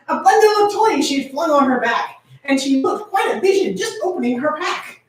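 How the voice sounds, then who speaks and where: Echoey, high-pitched woman reading theatrically. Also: normal speed. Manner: theatrical, expressive, jokey asides. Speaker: fan fiction reader and host. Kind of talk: dramatic comedic story reading with banter. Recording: roomy, echoing, distant mic with noise.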